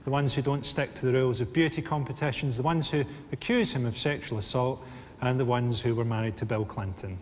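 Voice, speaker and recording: A Scottish man hosting a comedy panel show, delivering dry, deadpan jokes in a TV studio. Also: mid-range voice, a little fast, slight background noise. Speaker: host. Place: TV studio with audience. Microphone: lapel mic.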